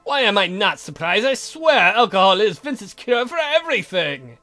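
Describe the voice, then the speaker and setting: A young vampire count as a man plays him with a high male voice and a gravelly edge. He is sardonic and easily irritated by his relatives, in a visual-novel read-through with faint music behind. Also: big pitch swings, moderate pace, bright, forceful tone, full character voice.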